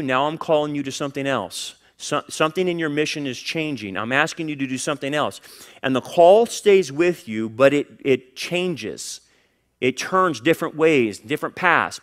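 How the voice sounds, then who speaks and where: A middle-aged American man, a pastor, delivering an expository sermon with an emphatic manner that moves between forceful and hushed. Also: a little high. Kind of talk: expository sermon with scripture reading. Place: church stage before a congregation, indoors.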